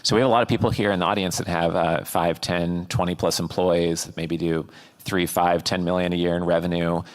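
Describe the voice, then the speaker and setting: Very deep-voiced male interviewer. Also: little pitch movement, a little fast. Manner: hesitant, filler-heavy questioning. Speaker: host and interviewer. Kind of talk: conference fireside chat hosting.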